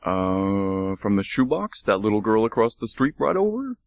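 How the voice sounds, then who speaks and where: A man's voice: on the low side, sing-song, moderate pace, gravelly, no echo. A man voicing a dim, friendly dog in a radio play, talking in a stammering, good-natured way in a dry studio. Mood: dopey.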